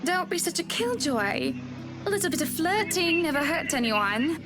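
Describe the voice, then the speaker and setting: Rich flirtatious lady, high-pitched, coy and haughty, formal phrasing, visual novel game, music behind. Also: big pitch swings, moderate pace. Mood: coy, teasing. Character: flirtatious, wealthy, polished woman, wounded when refused.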